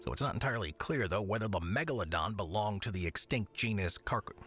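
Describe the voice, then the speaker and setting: A man reads documentary-style narration with a calm, soothing delivery. His voice sits on the low side but moves with a lively pitch. Faint music plays beneath him. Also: quick pace. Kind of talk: recorded narration takes.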